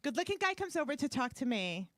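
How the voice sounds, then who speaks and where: A brash woman comic from Canada, with a Brooklyn-sounding accent and a fairly high voice. She rattles off self-mocking punchlines into a handheld mic on a club stage. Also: big pitch swings, quick pace, dry recording, studio quality. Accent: Brooklyn-sounding English. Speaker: stand-up comedian. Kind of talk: stand-up comedy set. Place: club stage before a live audience.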